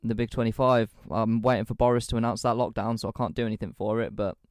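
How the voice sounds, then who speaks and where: An English man in his twenties co-hosting a podcast, chatting casually with quick, animated asides. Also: fairly low pitch, no echo, clean studio sound. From England. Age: 20s. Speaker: podcast co-host. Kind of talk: casual chat.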